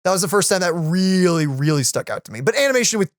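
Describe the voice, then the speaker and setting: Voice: mid-range voice, animated, quick pace, dry recording, clean studio sound. An enthusiastic reaction video co-host chatting casually and conversationally about what was just watched.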